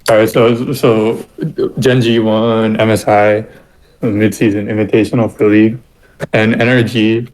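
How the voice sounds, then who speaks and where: A man's voice: lowish voice, level tone, normal speed, a little mellow, rough recording. A man chatting as a podcast guest, eagerly explaining facts. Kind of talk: casual chat.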